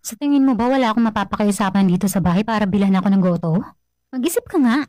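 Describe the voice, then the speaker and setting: A woman's voice: medium pitch, lively intonation, very fast, darkish tone, no room sound, natural voice. An actress playing an irritated young girlfriend in a radio drama, curt and dismissive. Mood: annoyed. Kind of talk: Filipino radio drama acting. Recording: dry studio voice with music bed.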